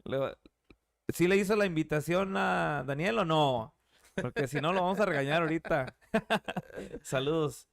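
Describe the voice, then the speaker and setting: A man in his thirties hosting a podcast, chatting casually in English and Spanish, swearing easily and reading out shout-outs from the chat. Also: fairly high pitch, normal speed, gravelly, long pauses, no room sound, studio quality. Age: 30s. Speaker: podcast host.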